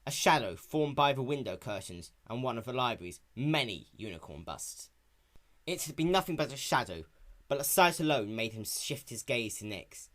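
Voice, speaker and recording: A man's voice: medium pitch, big pitch swings, normal speed, fairly bright tone. A man narrating a scripted story in a dramatic reading style. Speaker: narrator of a fan fiction reading.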